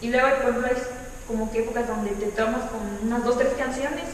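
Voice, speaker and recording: A woman's voice: on the high side, fairly even intonation, fast, recorded from a distance, a little hiss or hum. A young woman from Yucatán in Mexico, a podcast guest chatting casually, with a light Yucatecan lilt in her Mexican Spanish. She laughs often and acts out what her friends say. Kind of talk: casual chat. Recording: echoey room, voice a bit off-mic.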